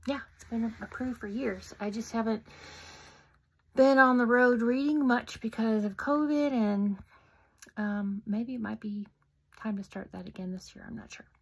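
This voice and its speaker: A middle-aged woman reading her own children's book aloud at home, interactive, with soft, often whispered asides to young listeners. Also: on the high side, moderate pace, slightly rough. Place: home room, indoors. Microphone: phone camera close up.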